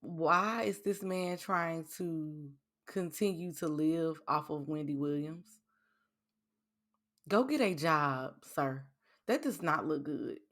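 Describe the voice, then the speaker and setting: Woman from Texas, podcast co-host, lowish voice, animated slangy chat. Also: takes it slowly. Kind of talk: casual chat.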